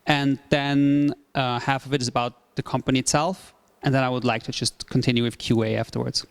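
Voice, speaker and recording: A man from Switzerland, a startup CEO in his thirties, giving a relaxed presentation talk with many fillers and repeated phrases. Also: medium pitch, a little fast. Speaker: startup CEO, guest speaker.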